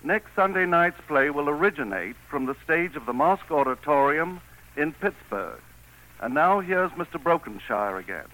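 A man's voice: on the high side, lively intonation, moderate pace, bright, forceful tone, rough recording. A man giving a formal, scripted address as a theater company spokesman on 1940s radio. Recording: vintage 1940s radio broadcast.

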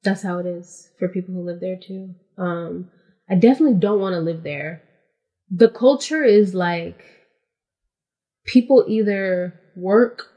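A woman's voice: lowish voice, warm tone. A woman from California, a podcast guest in casual interview chat, explaining things matter-of-factly and step by step with frequent "like".

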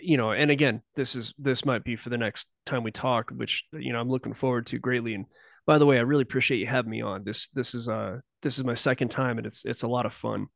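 Gravelly-voiced rambling man guest. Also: medium pitch, steady tone, fast, a bit bright, no echo, studio quality. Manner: rambling, self-interrupting, speculative. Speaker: podcast guest. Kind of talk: casual conversational interview.

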